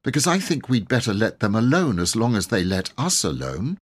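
A man voicing an earnest, excited schoolboy adventurer in an old storybook, speaking eagerly and emphatically in old-fashioned slang. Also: on the low side, normal speed, slightly rough, pitched above the performer's own voice. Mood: alarmed, excited.

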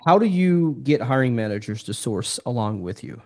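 A man's voice: medium pitch, expressive, normal speed, a little mellow. A man co-hosting a podcast, putting questions to a guest in a casual conversational way. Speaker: podcast co-host, interviewer.

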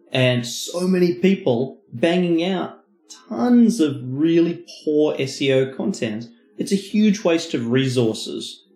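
A man's voice: medium pitch, a little mellow. A man co-hosting a podcast, explaining as an expert in an emphatic, conversational manner. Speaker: podcast co-host. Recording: roomy, echoing voice recording.

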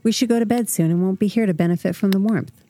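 An actress playing an affectionate, practical, hardworking wife in a radio drama, warm and lightly teasing. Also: female voice, medium pitch, fast talker, close to an everyday voice.

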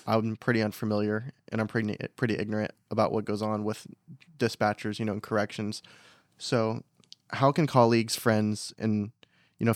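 A man's voice: on the low side, no echo, studio quality. A man hosting a podcast interview, asking earnest, sympathetic questions. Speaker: podcast host. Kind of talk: conversational interview.

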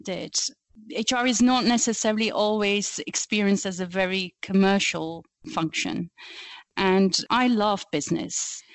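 A woman's voice: mid-range voice, dry recording, poor audio. Belgian-accented woman guest, dry sound. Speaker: podcast guest, HR consultant. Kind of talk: conversational interview.

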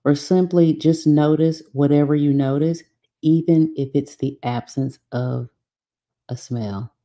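A woman leading a guided meditation from a script, giving soothing, unhurried instructions with long pauses. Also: low voice, steady tone, dark tone.

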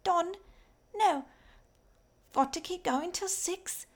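A woman voicing a poor, tired little girl who works in a coal mine, speaking in thin, raspy, clipped replies. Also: very high voice, takes it slowly, pitched above the performer's own voice. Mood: plain.

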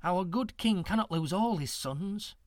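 A man's voice: high-pitched, expressive, a little slow, bright, forceful tone, dry recording, clean studio sound, voice pushed up, heavily acted. A man voicing a very old, wise woman who speaks in a prim, scolding, solemn way. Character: hundred-year-old wise woman, stern and sorrowful.

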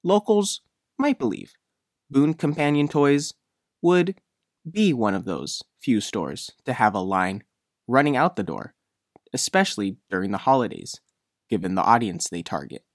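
A male reporter narrates a written news feature. His voice sits at a middling pitch and moves in measured, deliberate phrases. The sound is dry, with no room around it. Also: big pitch swings, no room sound.

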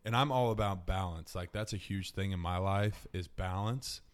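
A man's voice: sits low, moderate pace, clean tone. A man in his mid-twenties hosting a podcast, giving earnest, upbeat advice in casual chat. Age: mid-20s. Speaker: podcast host.

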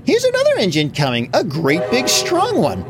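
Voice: mid-range voice, huge pitch swings, moderate pace, voice pushed up. A storyteller voicing a cheerful little male toy clown with an eager, hopeful manner.

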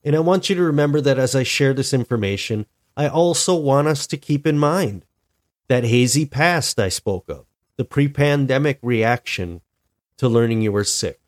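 A married man hosting a solo podcast, talking in a slow, reflective way with many restarts. He sounds a little stuffy and tired from being ill. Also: medium pitch, animated. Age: adult, married. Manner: deliberate, reflective, with frequent restarts. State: stuffy, sick with a cold-like illness. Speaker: podcast host.

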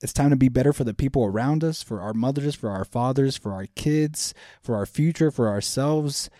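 Earnest man in his thirties, mellow voice. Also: medium pitch, normal speed, no echo, studio quality. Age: 30s. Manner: earnest, repetitive, speaks straight to listeners. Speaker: podcast host. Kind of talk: motivational self-help talk.

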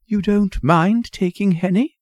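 A man's voice: high-pitched, a little slow, darkish tone, dry recording, studio quality, pitched above the performer's own voice. A man voicing a timid, fluttery society mother who pleads, gushes and apologises in a storybook reading. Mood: anxious coaxing.

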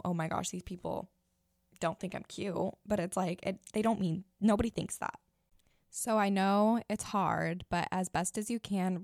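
A young woman in her twenties with a raspy morning voice, giving blunt, chatty advice as a podcast host. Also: mid-range voice, darkish tone, no echo, studio quality. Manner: blunt, chatty, many fillers. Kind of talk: casual advice chat.

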